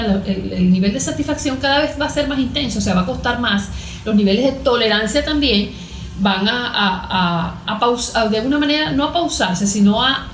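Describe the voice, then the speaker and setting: A woman's voice: fairly high pitch, slight background noise. A woman with a Venezuelan accent explaining as an expert guest in a conversational interview, didactic, posing questions and answering them. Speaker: guest expert, cognitive therapist. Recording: echoey room.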